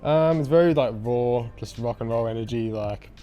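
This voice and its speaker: A young Australian man with a raspy voice, a band frontman answering interview questions in a laid-back, hesitant way with lots of ums. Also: medium pitch, moderate pace, dark tone, faint music behind.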